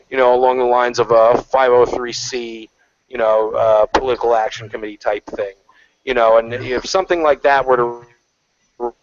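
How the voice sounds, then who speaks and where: A man in a casual online panel chat, rambling with lots of fillers and restarts. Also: mid-range voice. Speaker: panel guest. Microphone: video call. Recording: lo-fi online call audio.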